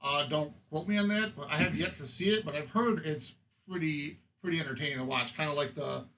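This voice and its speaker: Bright-voiced man in casual podcast chat. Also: a little high, fairly quick, a little hiss or hum, rough recording. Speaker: podcast co-host.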